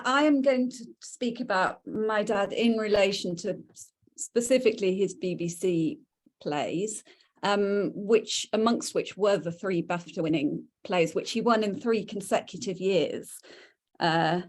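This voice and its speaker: A woman's voice: mid-range voice, measured pace. A British woman giving an informal, reminiscing talk as a guest speaker, hesitant and often repeating words as she goes.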